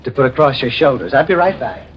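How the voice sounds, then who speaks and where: An actor playing a meek, hesitant window dresser who is secretly a murderer, in 1950s radio horror style. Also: male voice, medium pitch, sing-song, quick pace, slight background noise, close to an everyday voice. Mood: flustered, solicitous. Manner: hesitant, stammering, deferential. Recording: muffled vintage radio, music behind.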